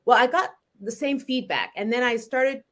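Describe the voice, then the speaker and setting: A woman in her forties, a novelist from Canada, talking about her books in a relaxed interview, animated and often laughing. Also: on the high side, moderate pace. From Montreal, Canada. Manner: chatty and animated, laughs often, frequent restarts. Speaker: novelist guest.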